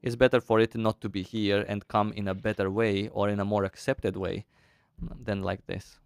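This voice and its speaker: A man's voice: fairly low pitch, little pitch movement, normal speed, vocal fry, smooth, no room sound. A young man with a Romanian accent chatting casually with viewers on a live game stream, thinking aloud as he answers chat.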